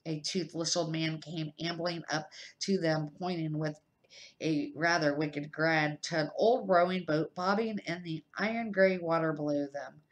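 A middle-aged woman reading a children's novel aloud as an amateur, slightly halting and correcting her words as she goes. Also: low voice, fairly even intonation. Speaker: amateur storybook reader.

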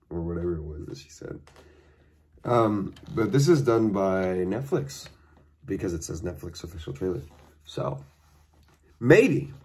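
A man in his twenties giving casual reaction commentary in a dry, deadpan way, musing aloud in a bedroom. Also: mid-range voice, slight background noise. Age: 20s. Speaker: host of a trailer reaction video. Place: bedroom at home, indoors.

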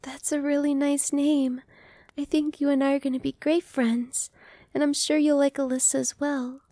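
A friendly young vampire girl, played by a woman, with a high voice and a mellow, warm tone. She talks softly and reassuringly, close to the mic in a dry room, and slips into playful whispers now and then. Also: level tone, normal speed, no echo. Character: cheerful young female vampire, newly turned, friendly. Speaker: voice actress. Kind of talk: ASMR roleplay.

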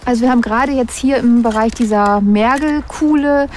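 A woman's voice: on the high side, normal speed, a little mellow, soft background music. A woman archaeologist giving an unscripted report on her work.

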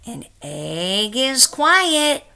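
A middle-aged woman reads a picture book aloud like a teacher. Her voice is bright, with a touch of creak, and she takes her time over each carefully stressed phrase. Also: fairly high pitch, very slow, a little hiss or hum. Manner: careful, expressive, emphatic phrasing for young listeners. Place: small room at home, indoors.

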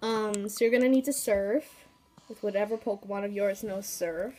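A woman's voice: mid-range voice, steady tone, moderate pace, warm tone, soft background music. A woman with a cold, sniffling a little, casually talking viewers through a handheld game and rambling with fillers and asides. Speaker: gaming YouTuber. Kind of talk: casual game walkthrough commentary.

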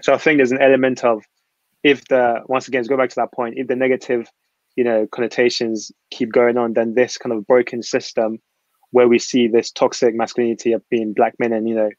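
Fast-talking man on a muffled video call. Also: medium pitch, flat delivery, no echo. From Ghana. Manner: earnest, rambling, many filler phrases. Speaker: panelist. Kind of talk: panel discussion on a video call. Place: small room at home. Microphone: laptop webcam on a video call.

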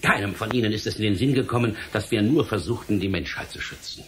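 An actor playing a stern, exasperated government official in a radio play, lecturing in an emphatic, theatrical, rhetorical way. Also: male voice, on the low side, fast talker, a bit bright, a little hiss or hum, close to an everyday voice. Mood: bitter, resigned. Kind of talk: German radio play acting.

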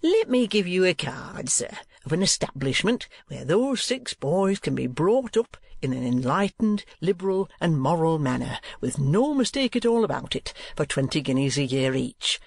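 Man acting a pompous, high-pitched oily schoolmaster. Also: very animated, moderate pace, gravelly, no room sound, exaggerated voice. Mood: oily, boastful. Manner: pompous, oily sales patter. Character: boastful, ingratiating, hypocritical schoolmaster salesman, middle-aged man.

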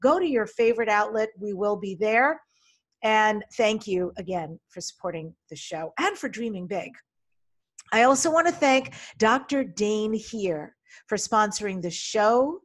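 A woman's voice: medium pitch, lively intonation, measured pace. Middle-aged woman, podcast host, upbeat and eager, desk mic on a video call, home room. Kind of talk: podcast interview. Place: home room with folding screen. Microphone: studio desk mic in front, video call.

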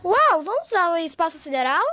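An eager little cartoon adventurer, extremely high and squeaky. The Brazilian voice bounces around in a roomy echo with music underneath. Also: big pitch swings, normal speed, pushed and bright, heavily acted. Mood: excited.

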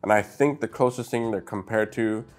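A middle-aged man with a lowish voice chats through a toy review in an upbeat, direct way, a little sniffly from a stuffy nose. He is recorded on a clip-on mic in a room full of shelves. Faint music plays behind him. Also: moderate pace. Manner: chatty, upbeat, talks to viewers directly. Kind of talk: casual product review. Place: indoor room lined with shelves of brick sets. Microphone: clip-on lapel mic.

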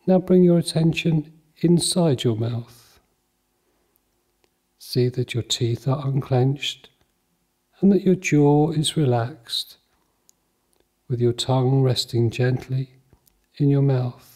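A man leading a guided mindfulness meditation in a calm, measured, soothing manner, leaving long pauses between short phrases. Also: medium pitch.

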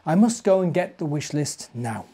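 Eager young storybook boy, played by a man, British, exclamatory, with lifted pitch. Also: very animated, normal speed, warm tone, breathy edge. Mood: panicked.

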